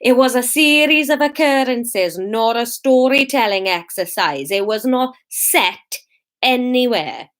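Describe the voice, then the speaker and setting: A woman voicing a pompous, easily outraged village man in a booming, trembling, over-enunciated voice for a comic story. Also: lively intonation, measured pace, fairly bright tone. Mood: indignant. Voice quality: trembling vibrato.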